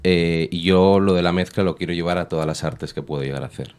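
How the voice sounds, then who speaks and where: Guest rapper, Spanish accent, very low voice, unhurried studio interview. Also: male voice, darkish tone, clear, resonant tone. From Spain. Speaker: rap artist guest. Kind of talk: interview answers. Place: radio studio. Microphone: studio microphone.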